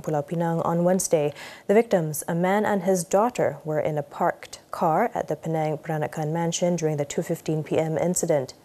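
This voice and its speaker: A woman's voice: fairly low pitch, dark tone. A woman with a Malaysian accent reading scripted news as a television presenter. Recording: music bed behind voice.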